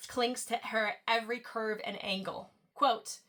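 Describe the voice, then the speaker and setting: A young woman with a bright, sharp voice that sounds nasal from a sore throat. She recaps a book to camera in a chatty, playful way, tripping now and then over passages she reads aloud. Her voice sits a little higher than usual. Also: steady tone, measured pace. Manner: chatty, playful asides, stumbles when reading aloud. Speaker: book channel host. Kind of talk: casual chapter recap with read-aloud quotes. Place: at home, talking to camera.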